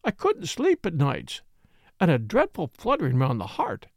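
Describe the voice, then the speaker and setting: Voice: mid-range voice, huge pitch swings, normal speed, no room sound, clean studio sound. A reader putting on a gushing, tearful lady. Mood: aggrieved. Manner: fluttery, rambling, self-pitying. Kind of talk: audiobook character reading.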